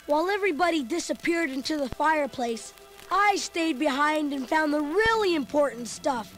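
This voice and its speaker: A woman's voice: high voice, expressive, moderate pace, low-quality recording. A woman voicing a chubby, food-loving boy who narrates a storybook record with chatty, comic asides. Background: music.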